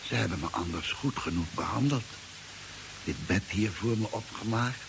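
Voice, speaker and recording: Radio drama: testy, confused castaway spaceman, sure of himself, lower register, heavy vintage hiss. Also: expressive, moderate pace, creaky. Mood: calmly deluded. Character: confused, irritable stranded spaceman, deluded but self-assured. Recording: old hissy, noisy radio recording.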